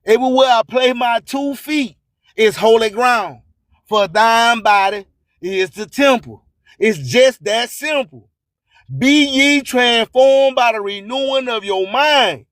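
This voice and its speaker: A man speaks in a strikingly high-pitched voice, taking his time over each phrase. He preaches like a motivational radio host, emphatic and fond of repeating his lines. The sound is dry and close, with no room around it. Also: lively intonation, slow pace, a bit bright, no echo. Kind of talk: motivational spoken-word sermon.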